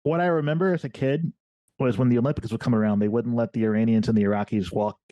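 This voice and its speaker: A man in his forties from Ohio, hosting a podcast interview in a relaxed, conversational way. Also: mid-range voice, quick pace, no echo. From Ohio, USA. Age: 40s. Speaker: podcast host. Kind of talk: casual interview.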